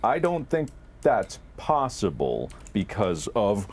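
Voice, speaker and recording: A man co-hosting a casual talk, telling stories about his work in an animated, exaggerating way with some swearing. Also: lowish voice, slow, a little hiss or hum. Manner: animated, profane, exaggerating for effect. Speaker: video creator and co-host, recounting past productions. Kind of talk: casual conversational storytelling.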